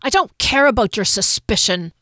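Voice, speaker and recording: A woman voicing a grumpy, vengeful male mercenary chief, talking in a gruff, curt and forceful way. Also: mid-range voice, expressive, moderate pace, dry recording, clean studio sound, lightly acted. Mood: angry. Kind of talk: audiobook character voice.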